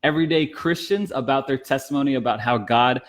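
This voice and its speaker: A man's voice: mid-range voice, fairly quick. A man hosting an interview podcast in a casual, chatty conversational style.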